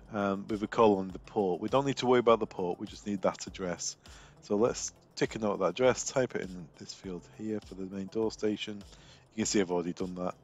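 A man's voice: fairly low pitch, dull audio. A man working as a technical trainer, narrating a screen demo in an informal, step-by-step way.

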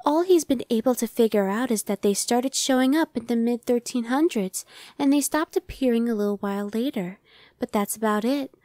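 Woman acting a sardonic demon scout, higher-pitched. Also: normal speed, mellow, dry recording, clean studio sound, close to an everyday voice. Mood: dismissive. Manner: conversational, dismissive, explanatory. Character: female demon searcher, sardonic, impatient scout on a mission. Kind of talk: ASMR roleplay, one-sided dialogue.

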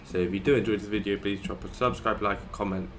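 A man's voice: fairly low pitch, fairly even intonation, fast talker, fairly bright tone, slight background noise. A young man, rambling casually in swear-laced commentary over his gameplay video. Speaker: gaming YouTuber.

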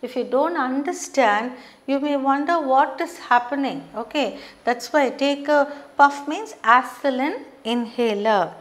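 A middle-aged woman teaching a beginners' lesson at a whiteboard, explaining terms slowly and checking in with frequent "okay". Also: sits high. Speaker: English teacher. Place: studio classroom with whiteboard.

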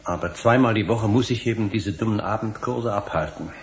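An actor playing an apologetic, scholarly middle-aged husband in a German radio drama, courteous and contrite. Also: male voice, lowish voice, brisk, lightly acted.

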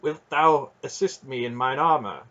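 A man's voice: mid-range voice, moderate pace, pushed and bright, dull audio, voice pushed up. A man voicing a brooding noble knight who speaks in an archaic, earnest and declaiming way. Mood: troubled, solemn. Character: brooding noble male knight, formal and solemn.

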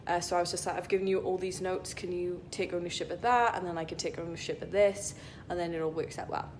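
A young woman vlogs in a chatty, rambling way, with plenty of filler "likes". Her voice sits a little low. It is recorded on a phone at arm's length in a locker room, with faint rumbling noise underneath. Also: fairly even intonation, fast talker, a little mellow. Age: young adult. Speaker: vlogger, IT consultant. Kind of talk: casual vlog chat to camera. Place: indoor gym locker room.

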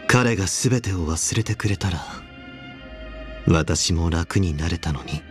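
An actor voicing a calm, dignified, wistful warrior general in a game, measured and reflective with sighs. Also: male voice, low voice, faint music behind. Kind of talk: scripted game character voice lines.

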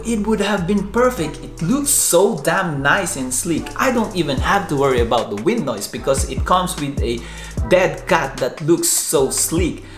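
Man, 40s, Filipino accent, animated pitch, candid tech vlog, echoey room. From Philippines. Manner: candid, blunt opinions. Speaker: tech vlogger. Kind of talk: casual gear review vlog. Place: living room at home.

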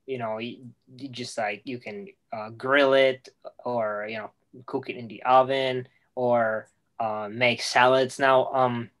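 Young man, italian accent, very slow, hesitant, halting speech, webcam audio from a room with bookshelves. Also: mid-range voice, a bit bright. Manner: hesitant, many fillers and restarts. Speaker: guest cook. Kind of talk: casual video call chat. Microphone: video call, webcam.

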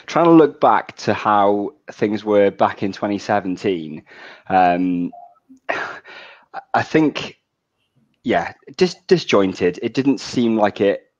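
A man's voice: fairly low pitch, darkish tone. A young man chatting casually as a podcast guest over a video call. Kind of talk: casual chat. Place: indoor room at home on a video call. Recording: slightly dull video-call audio.

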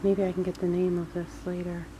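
A woman's voice: on the low side, completely flat delivery, brisk, dark tone. Chatty middle-aged woman, noisy vlog audio. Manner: chatty, musing aloud, full of wonder. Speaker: rideshare driver and vlogger. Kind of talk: casual off-the-cuff vlog narration. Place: moving car with windows down, later open barn. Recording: noisy handheld camera audio with car and wind.

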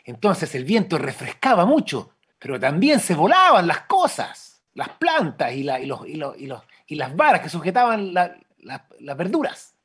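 A man with a Chilean accent narrates an improvised tale over a video call. His voice is very husky and his pitch moves around in a lively way. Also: normal speed. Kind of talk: improvised storytelling. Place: indoors at home, on a video call.

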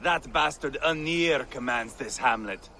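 An actor playing a fierce, boastful Viking warlord who relishes violence in a video game. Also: male voice, mid-range voice, measured pace, vocal fry, sharp tone, a little hiss or hum. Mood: bloodthirsty. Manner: bold, bloodthirsty, mocking bravado. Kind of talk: video game cutscene acting.

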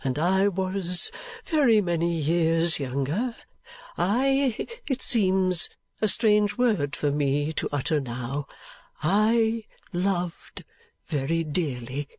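Storybook old gentleman, played by a man: high, slow, kindly, earnest and sentimental, emphatic and halting. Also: animated, dry recording, heavily acted. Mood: wistful, tender. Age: older. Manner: emphatic, repetitive, halting, drawn-out delivery.

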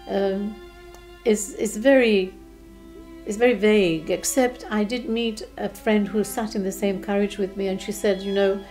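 An elderly woman in her eighties from Czechoslovakia recalls the past in a mellow, soft-toned voice. She speaks gently and unhurriedly, pausing and restarting often, with faint music under her. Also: mid-range voice. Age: 80s. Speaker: interviewee. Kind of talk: recounting childhood memories. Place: living room, seated in armchair.

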